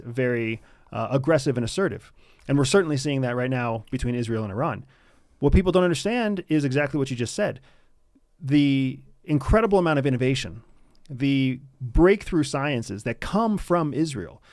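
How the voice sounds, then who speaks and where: Guy hosting an interview, asks sharp probing questions, dry close sound. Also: mid-range voice, no room sound. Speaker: interview host.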